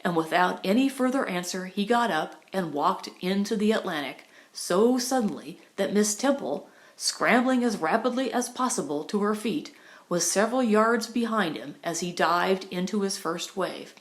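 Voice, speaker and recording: A woman's voice: mid-range voice, animated, moderate pace. Woman narrating an audiobook. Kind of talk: scripted narration of a 1920s romantic comedy novel.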